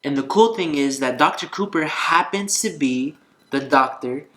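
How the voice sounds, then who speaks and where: A man's voice: on the high side, normal speed, noisy background. A man vlogging in a casual, conversational and earnest way, telling a personal story. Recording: roomy echo, faint guitar music behind.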